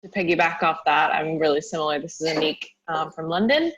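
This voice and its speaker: A woman from the United States joins a video call to share, her voice slightly muffled by lo-fi audio. She speaks gently and lets her sentences trail off quietly. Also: low voice, a little fast, slightly rough. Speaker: workshop participant.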